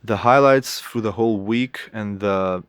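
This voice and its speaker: A young man with a Lithuanian accent, a native Lithuanian speaker, answering interview questions in halting English and often restarting as he searches for words. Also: lowish voice, clear, resonant tone, dry recording. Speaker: guest artist.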